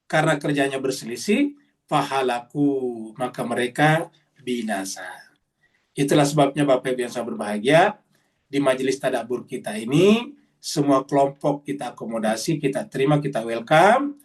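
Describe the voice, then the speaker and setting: A man's voice: medium pitch, normal speed, far from the mic. A middle-aged man teaching a Quran study session, explaining Arabic phrase by phrase with frequent "ya" tags. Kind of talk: religious study lecture. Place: study gathering, online session.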